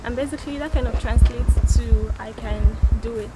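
Young woman, 20s, friendly vlogger tone, high-pitched, recorded outdoors over music. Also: moderate pace, low-quality recording. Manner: chatty, laughs often, friendly advice to viewers. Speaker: vlogger giving a campus tour. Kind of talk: casual walking tour talk. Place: outdoors on a street and campus grounds.

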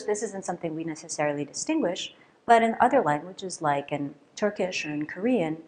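A middle-aged woman, a native Russian speaker, giving a conference keynote at a lectern in a lecture hall, lively and often checking in with "right?". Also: fairly low pitch, a little hiss or hum. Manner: lively, questioning, with frequent "right?" and fillers. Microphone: lectern microphone.

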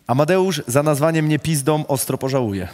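A man hosting a rapid question round, reading statements aloud with a Polish accent and casually swearing. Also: fairly high pitch, a little mellow.

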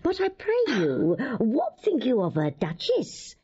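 Husky, teasing old court lady. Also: on the high side, wildly swooping pitch, unhurried, dark tone, muffled recording, heavily acted. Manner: teasing, mocking, worldly. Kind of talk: classical Shakespearean radio drama acting.

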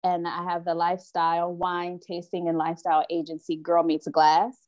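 A woman from Chicago with an American accent, a wine tour guide chatting on a video call in a relaxed, joking way. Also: lowish voice, moderate pace, lo-fi sound. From Chicago, United States. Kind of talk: casual video call chat. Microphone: laptop video call.

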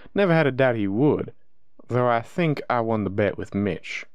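A man's voice: mid-range voice, animated, unhurried, clear, resonant tone, no echo, close to an everyday voice. A man playing a joking, easygoing werewolf brother in an audio drama, with a playful, teasing manner. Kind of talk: roleplay audio drama acting.

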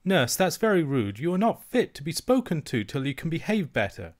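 A man's voice: sing-song, a little fast. A man voicing a young princess girl, earnest and proud, in a raised childlike voice. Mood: indignant. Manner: high, earnest childlike pleading.

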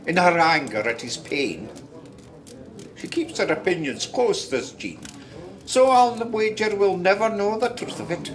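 An actor with a Scottish accent playing a wistful, companionable village storyteller in a radio play, reflective and unhurried. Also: male voice, high voice, fairly bright tone, heavily acted. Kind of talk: radio drama narration.

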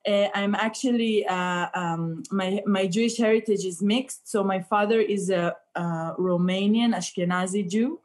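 A woman's voice: medium pitch, fairly even intonation, a little slow, few pauses, darkish tone. A woman, a stand-up comedian chatting and joking on a video call with many fillers. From Israel. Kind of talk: comic chat on a video call. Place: indoor room. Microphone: laptop webcam on video call.

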